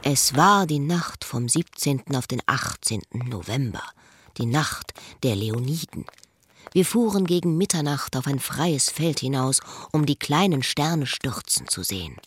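A woman's voice: very deep voice, lively intonation, measured pace, slight background noise. A woman acting a disillusioned, wry woman's introspective monologue in a literary radio drama.

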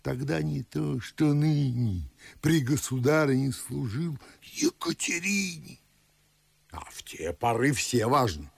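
An actor playing a pompous, excitable old Russian nobleman in a verse radio play, speaking in a gravelly, husky voice and savouring his words. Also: mid-range voice, slow pace, dry recording, full character voice. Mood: boastful, reminiscing. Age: older. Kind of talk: theatrical verse radio drama.

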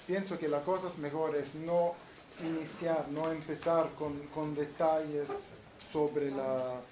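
An Italian-accented man from southern Italy lectures in a university hall, slow and unhurried, stumbling over repeated words as he restarts his phrases. His voice sits a little high, and the room rings with echo and steady background noise. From southern Italy, Naples. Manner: halting, many repeated restarts. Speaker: guest lecturer.

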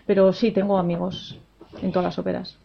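A woman's voice: fairly low pitch, little pitch movement, brisk, vocal fry, a little hiss or hum. A woman in her forties, an opera singer, answering interview questions in a cheerful, chatty way and laughing often. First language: Spanish. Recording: dull lo-fi radio sound.

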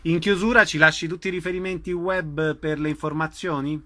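A man's voice: on the high side, fairly even intonation, brisk, bright, forceful tone. A man hosting a live radio show, introducing guests and putting questions to them. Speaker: radio host.

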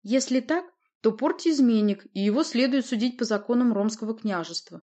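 A woman's voice: fairly high pitch, a little fast, dark tone, voice pushed up, natural voice. A woman voicing a timid but persistent nobleman in a story, formal, polite and questioning. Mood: doubting.

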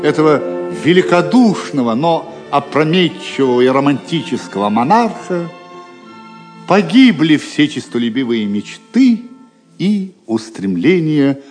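A male storyteller reads a scripted tale with very animated intonation. The voice rings with a long hall reverb, and music plays clearly behind it. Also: on the high side, measured pace. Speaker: narrator.

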